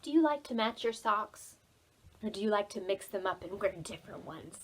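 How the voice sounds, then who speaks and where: A woman leading preschool storytime, reading aloud in an expressive, playful way and speaking directly to young children. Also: medium pitch, normal speed, a little hiss or hum.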